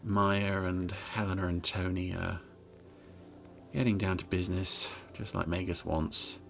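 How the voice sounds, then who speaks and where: A man hosting a podcast, giving wry, hesitant and expressive running commentary on a television episode. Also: low voice, unhurried, vocal fry, loud music behind the voice. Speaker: podcast host.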